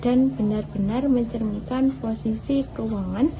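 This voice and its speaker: A woman's voice: fairly high pitch, measured pace, gravelly, mellow. A young adult woman giving a scripted student presentation in a formal, read-out manner.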